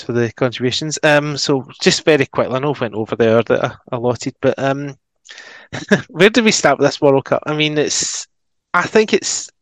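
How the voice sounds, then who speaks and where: A man's voice: medium pitch, no room sound. A man hosting a casual football podcast, reading out listener messages with lots of ums. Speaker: podcast host.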